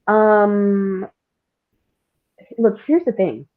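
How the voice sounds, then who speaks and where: A woman's voice: mid-range voice, extremely slow. A woman guest talking casually and candidly in an interview, thinking aloud in an informal way. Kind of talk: casual reflective interview talk. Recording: narrow, phone-like call audio.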